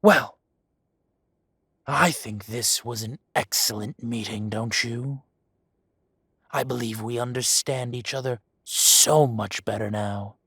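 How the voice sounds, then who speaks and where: A husky, unhurried dragon crime boss, as a man acts him out lets each threat drip with mocking calm. His voice is close and dry, with no room around it, and every word lands slowly. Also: mid-range voice, no echo, heavily acted. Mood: cold threat. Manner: slow, purring, mocking menace. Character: menacing male dragon posing as a mafia boss. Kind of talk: roleplay audio drama.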